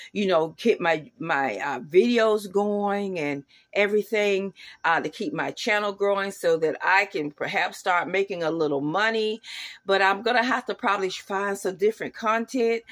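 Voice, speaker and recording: A woman talks into her handheld phone as she walks around her home kitchen. She rambles in a plain, everyday way, with plenty of ums and restarts. Her voice sits at an ordinary middle pitch. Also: a bit bright. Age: adult student. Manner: rambling, plain-spoken, with many fillers and restarts. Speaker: vlogger. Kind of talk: casual vlog, showing her food. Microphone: handheld phone camera.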